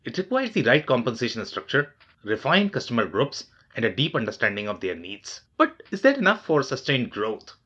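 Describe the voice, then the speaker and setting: A man's voice: medium pitch, wildly swooping pitch, a little fast, pushed and bright, slightly rough, highs cut off. A man hosting a business podcast, reading a formal scripted introduction and asking questions. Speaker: podcast host.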